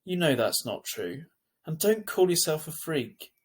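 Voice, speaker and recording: Man reading as a gentle, coaxing older sibling. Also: fairly high pitch, unhurried, pitched above the performer's own voice. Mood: soft reassurance. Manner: soft, calm, coaxing. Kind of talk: children's novel read aloud.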